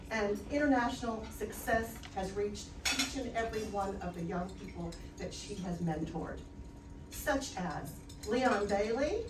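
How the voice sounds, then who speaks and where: A woman hosting an event, reading out a formal, admiring introduction of the speaker. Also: medium pitch. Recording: echoey room from far off, clatter behind.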